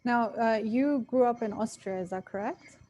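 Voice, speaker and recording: A woman's voice: on the high side, moderate pace, darkish tone, muffled recording. A woman hosting an interview series, reading her introduction with hesitant fillers and then asking the guest questions over a video call. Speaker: podcast host.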